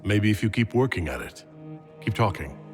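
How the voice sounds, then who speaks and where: A man playing a calm, commanding hero in a video game cutscene, composed and direct. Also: lowish voice, normal speed, over music, lightly acted. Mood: supportive.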